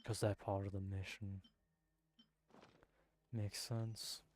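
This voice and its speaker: A man's voice: on the low side, little pitch movement, slow, a little mellow. A man giving casual commentary while playing a video game, muttering puzzled asides to himself. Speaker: gaming streamer.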